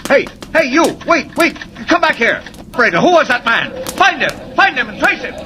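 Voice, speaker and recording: Blustering newspaper boss, high voice, barking and flustered, 1940s radio drama, heavy noise. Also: male voice, moderate pace. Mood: frantic, alarmed. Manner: excitable, barking, sputtering. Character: blustering, irritable male newspaper editor, easily flustered. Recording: very noisy vintage 1940s radio recording.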